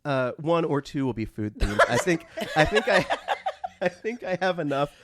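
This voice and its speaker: A man's voice: on the high side, very animated, moderate pace, heavy background noise. A man co-hosting a comedy podcast, telling casual anecdotes with shameless, profane candor. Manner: candid, unashamed, profane. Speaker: podcast co-host. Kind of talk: casual comic group chat, telling anecdotes.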